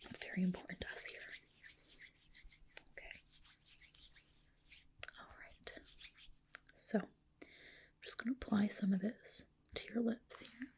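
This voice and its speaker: Whispering woman with heavy soft creak. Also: medium pitch. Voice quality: whispered, breathy, soft-spoken. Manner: gentle, reassuring, attentive clinician talk. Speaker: ASMR performer playing a medi-spa clinician. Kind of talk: ASMR roleplay, personal attention.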